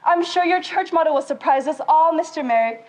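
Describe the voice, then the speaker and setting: A young woman playing a gracious, theatrical Victorian actress in a high school stage play, with polite, expressive delivery. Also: fairly quick, natural voice, rough recording.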